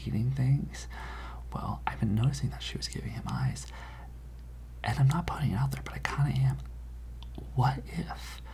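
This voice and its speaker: An ASMR performer playing a gossipy, dramatic high school student, rambling in a hushed, conspiratorial way. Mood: suspicious, hushed. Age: teenage character. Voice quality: breathy whisper with creaky edges. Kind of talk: ASMR roleplay.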